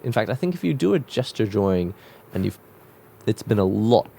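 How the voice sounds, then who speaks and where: A man with a cold-croaked voice talking casually and reflectively while drawing, musing with loose restarts. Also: on the low side, big pitch swings, fairly quick, warm tone. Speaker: art vlogger drawing on camera.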